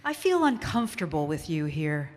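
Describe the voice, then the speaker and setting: A woman's voice: low voice, big pitch swings, moderate pace. A woman reciting short scripted statements, with long hall reverb on her voice. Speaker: reader of short personal statements.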